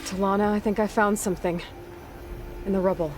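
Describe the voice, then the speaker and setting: An actress playing a young woman hunter in a video game, talking calmly and thoughtfully. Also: medium pitch, fairly quick, over music, lightly acted. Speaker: protagonist.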